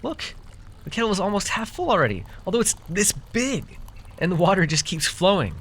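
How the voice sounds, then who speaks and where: A man's voice: high voice, very animated, fairly quick, fairly bright tone, slightly rough, exaggerated voice. A man playing a proud, easily exasperated magical herbalist in a fantasy roleplay, talking straight to the listener with theatrical airs and sheepish, stammering asides. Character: proud, easily exasperated young magical herbalist who gave away his name.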